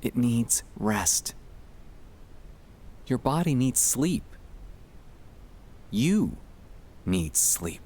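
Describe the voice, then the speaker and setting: A man speaking in a gentle, soothing and reassuring way, guiding relaxation in an ASMR style with pauses between short phrases. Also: mid-range voice, sing-song, breathy edge. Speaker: ASMR sleep-aid performer.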